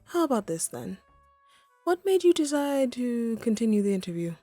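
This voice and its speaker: A woman's voice: a little high, very animated, normal speed, warm tone, no echo, faint music behind. A woman voicing a haughty, wry female supervillain in a scripted audio roleplay, poised and theatrical but conversational. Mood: dry, amused.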